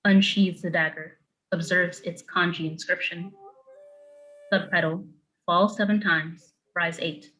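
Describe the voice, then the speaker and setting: Low, bright-voiced woman narrator. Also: steady tone, measured pace, soft background music, rough recording. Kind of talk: scripted screenplay narration, reading stage directions.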